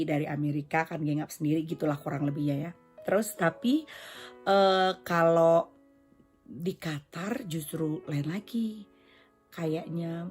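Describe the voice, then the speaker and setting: A woman's voice: deep voice, darkish tone. A woman hosting a casual solo podcast, chatting conversationally and mixing in English phrases. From Indonesia. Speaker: podcast and vlog host.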